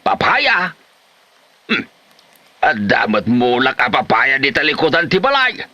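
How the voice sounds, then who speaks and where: A man's voice: mid-range voice, huge pitch swings, a little slow, sharp tone, no room sound, highs cut off, heavily acted. A man playing an exasperated older village father figure who scolds and exclaims in a comedy drama. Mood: puzzled.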